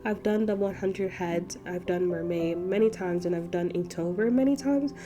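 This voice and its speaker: A young woman, stuffy and a bit nasal from allergies, gives a soft, rambling voiceover about her painting process. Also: fairly low pitch, normal speed. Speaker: art channel host. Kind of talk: voiceover narration of a painting session. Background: background music.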